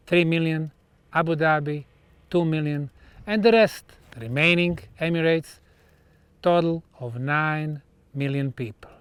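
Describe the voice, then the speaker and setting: Guy presenting documentary style, really slow w pauses, smooth clear voice, dry sound. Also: fairly high pitch, a bit bright, dry recording. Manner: measured, pausing between short phrases. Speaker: researcher presenting on location.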